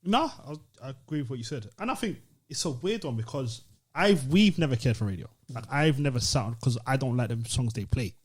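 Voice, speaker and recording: A man's voice: mid-range voice, animated, brisk, slightly rough. A British man co-hosting a podcast, chatting informally in slangy, opinionated style. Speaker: podcast host. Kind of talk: casual chat.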